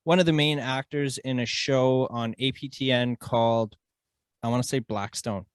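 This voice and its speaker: A man's voice: medium pitch, fairly even intonation, moderate pace, clear, resonant tone, no echo, studio quality. A man with a Canadian accent hosting a casual interview, chatting in an informal, slangy and agreeable way. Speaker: podcast host.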